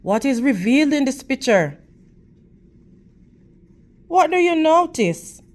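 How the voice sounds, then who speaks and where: Slow-paced woman reading aloud to children. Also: high-pitched, big pitch swings, a little mellow. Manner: deliberate, measured phrasing with questions and praise addressed to young listeners. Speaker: children's read-aloud storyteller and teacher. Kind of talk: read-aloud of a picture book for children.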